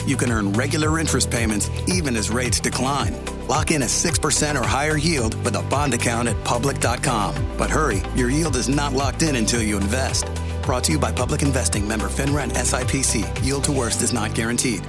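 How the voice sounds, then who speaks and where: A man reading a scripted advertisement as an announcer. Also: mid-range voice. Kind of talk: scripted ad read. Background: loud music bed.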